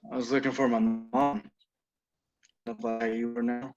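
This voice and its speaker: A man's voice: mid-range voice, level tone, unhurried, a little mellow, soft background music, lightly acted. Man acting cocky young horror fan, muffled call. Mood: confiding. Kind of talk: table read of a film script over video call. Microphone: video call. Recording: dull, muffled video call audio.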